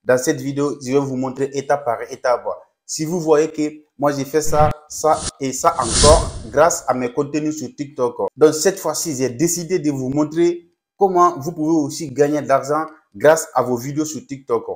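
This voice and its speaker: A man's voice: fairly high pitch, moderate pace. A young man, not a native French speaker, speaking as a content creator in a casual tutorial vlog with an encouraging pep-talk tone. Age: young adult. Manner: pep-talk tone, addresses viewers as "les gars".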